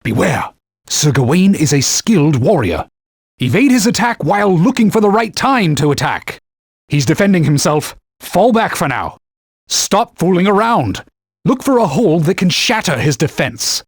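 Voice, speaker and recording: A proud talking sword from a video game, a stern mentor who barks combat advice and scorns his partner. His husky voice sits high and swings widely in pitch. The studio recording is dry and close. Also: very animated, moderate pace, no echo, exaggerated voice. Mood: instructive. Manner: scolding, calls his partner fool and knave; commanding, gives combat tips.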